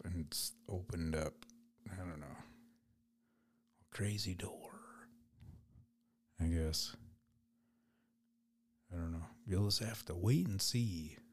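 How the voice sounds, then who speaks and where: A man hosting a podcast solo, with a raspy voice, chatting loosely and rambling in an unedited way. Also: low-pitched, a little mellow. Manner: rambling, loose, laid-back. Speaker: podcast host. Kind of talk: casual solo podcast chat.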